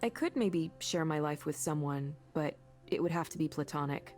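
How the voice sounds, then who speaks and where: An actress playing an earnest, kind and self-assured woman in a visual novel, speaking in a natural, gently encouraging conversational way. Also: deep voice, lively intonation, moderate pace, soft background music. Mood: candid, reflective.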